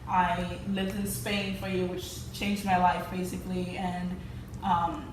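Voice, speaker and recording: A woman's voice: lowish voice, level tone, measured pace. A young woman from Sweden talking casually and rambling a little, with many "like" fillers. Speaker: actor, co-host. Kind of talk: casual chat. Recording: echoey room, mic far off, noisy.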